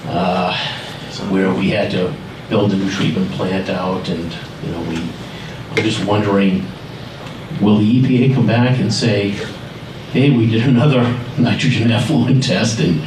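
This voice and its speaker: A man's voice: lowish voice, fairly even intonation, measured pace. A man giving a public comment at a town meeting, tentative and questioning, with many fillers. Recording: echoey hall with noise, distant mic.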